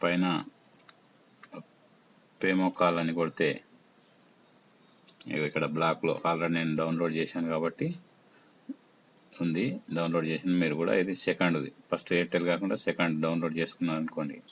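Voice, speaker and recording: A man presenting an informal app tutorial, explaining step by step in short, halting phrases. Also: low-pitched, fairly even intonation. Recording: muffled lo-fi audio with faint noise.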